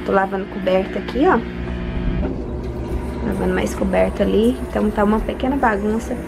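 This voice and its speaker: A woman's voice: medium pitch, steady tone, fairly quick, vocal fry, very noisy. A young woman from Brazil giving a chatty home tour, filming herself on a handheld phone in and around her house. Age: young adult. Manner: chatty, addresses viewers directly. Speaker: home vlogger. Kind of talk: casual home tour vlog. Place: house and yard, in and out of doors. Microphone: phone held at arm's length. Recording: music playing underneath.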